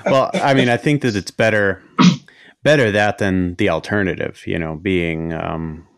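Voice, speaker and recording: A man's voice: low-pitched, measured pace, clear, resonant tone, dry recording. A man hosting a podcast with a slight New England accent and a faint nasal whine, chatting casually and rambling with many restarts. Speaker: podcast host. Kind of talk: casual interview chat.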